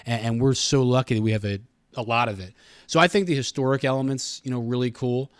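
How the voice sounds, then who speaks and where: A middle-aged American man speaks as a guest in a relaxed interview. His pitch is average for a man, and he explains earnestly, often restarting phrases. The sound is close and dry. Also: quick pace, clear, resonant tone, no room sound. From Pennsylvania, United States. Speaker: radio station communications director, podcast guest. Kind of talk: casual interview conversation.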